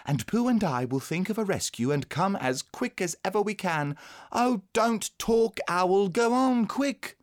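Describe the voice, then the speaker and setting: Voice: medium pitch, animated, measured pace, pitched above the performer's own voice, close to an everyday voice. A reader playing an eager, tender-hearted young boy in a children's storybook, earnest and exclamatory. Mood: urgent.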